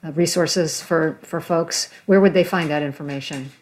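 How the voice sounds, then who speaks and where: A woman with a slightly low voice hosts an interview. She speaks in a conversational style, as an interviewer talking with a guest. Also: normal speed, a little mellow. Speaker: interviewer, host.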